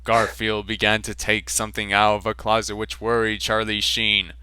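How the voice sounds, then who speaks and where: A man's voice: lowish voice, monotone, normal speed, bright, forceful tone, smooth, no room sound. A man reading a silly fanfiction aloud, mock-serious and fighting off laughs. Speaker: reader.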